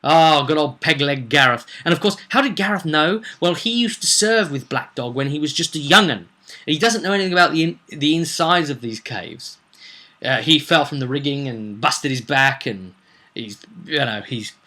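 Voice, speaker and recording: A man's voice: medium pitch, normal speed, sharp tone. A man chatting casually as a game master, recounting a tabletop roleplay session in a rambling, storytelling way. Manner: chatty, slips into acted quotes of characters.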